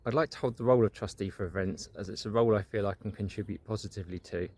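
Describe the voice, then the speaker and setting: Deep-voiced guy talking fast through a prepared pitch for votes. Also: slightly rough. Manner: steady, prepared delivery. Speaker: trustee candidate. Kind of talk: recorded manifesto video.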